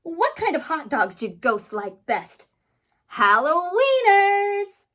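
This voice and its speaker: A woman's voice: fairly high pitch, very animated, unhurried, slightly rough, full character voice. A woman voicing a cheeky young girl ghoul who loves cracking jokes in a children's story, with punchy, playful delivery. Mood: gleeful.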